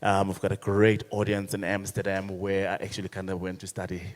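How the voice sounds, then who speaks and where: Male panelist, lowish voice, loose and unhurried with lots of ums, long hall reverb. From South Africa. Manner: relaxed, filler-heavy. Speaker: panelist, fashion designer. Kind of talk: panel introduction. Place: conference hall.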